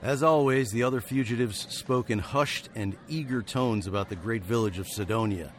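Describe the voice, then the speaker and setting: An actor playing a haunted, weary frontier hero turned fugitive, narrating in wry, wordy literary phrases for radio drama. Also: male voice, mid-range voice, normal speed, a little hiss or hum, lightly acted. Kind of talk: scripted first-person radio drama narration.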